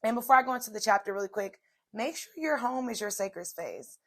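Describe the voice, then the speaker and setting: Slightly hoarse, chatty woman host. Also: fairly high pitch, lively intonation, fairly quick. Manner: chatty, direct to viewers, repeats "okay" and "y'all". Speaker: podcast host. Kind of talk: inspirational solo talk. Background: soft music playing.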